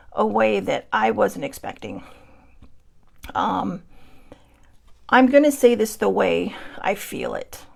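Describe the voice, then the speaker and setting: An American woman speaking from the heart as a livestream host, in an earnest, reflective, halting way, stressing points by repeating them. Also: medium pitch, creaky. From United States. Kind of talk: personal, heartfelt spoken commentary.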